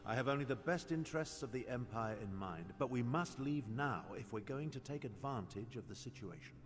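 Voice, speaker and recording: An actor playing a secretive, commanding imperial schemer in a fantasy video game, speaking in a formal, persuasive way. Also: male voice, medium pitch, animated, brisk, lightly acted. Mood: imperious, secretive. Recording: long hall reverb, music underneath. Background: game music.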